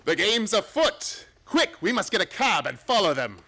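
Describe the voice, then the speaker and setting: Guy acting as a sharp gentleman detective, decisive, lively intonation, old radio drama style. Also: high-pitched, normal speed, full character voice. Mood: urgent, commanding. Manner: precise, decisive, authoritative. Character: shrewd, commanding gentleman detective.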